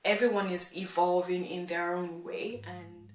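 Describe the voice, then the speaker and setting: A young woman of British and Nigerian background talking straight to camera in a casual vlog, chatty and animated, laughing now and then. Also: lowish voice, unhurried, echoey room, music in the background. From England and Nigeria. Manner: chatty, animated with hand gestures, laughs often. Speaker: vlogger. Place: indoors against a plain grey wall. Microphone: camera in front, head-and-shoulders framing.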